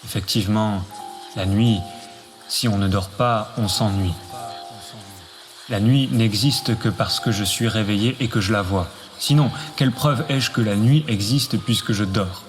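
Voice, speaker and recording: A man's voice: on the low side, measured pace. A man delivering a scripted, poetic radio monologue with music behind him. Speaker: narrator.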